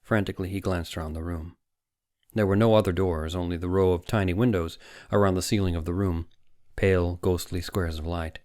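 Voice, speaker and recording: A male audiobook narrator with a low voice reads fiction dramatically. His phrasing is deliberate and suspenseful, and the recording is close and dry. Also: fairly even intonation, a little mellow, dry recording.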